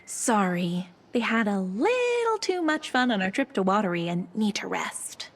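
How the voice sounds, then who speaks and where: An actress playing a friendly but flustered, evasive care home worker in a video game. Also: female voice, medium pitch, sing-song, a little slow. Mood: polite, evasive. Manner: hesitant, evasive, politely chirpy. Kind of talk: cinematic horror video game acting.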